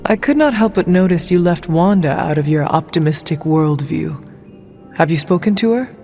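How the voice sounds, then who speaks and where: An actor playing an earnest warrior hero in a video game, talking in a formal, careful way. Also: male voice, on the high side, expressive, normal speed, creaky. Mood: frank, supportive. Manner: formal, careful, avoids contractions. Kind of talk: video game character dialogue. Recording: muffled phone-like band, music behind.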